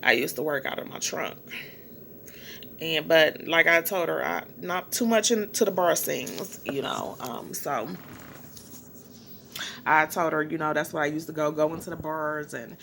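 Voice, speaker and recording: A middle-aged woman and small business owner talking casually to her viewers in a rambling way, with many fillers and pauses. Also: sits low, creaky, fairly bright tone, a little hiss or hum. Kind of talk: casual vlog monologue.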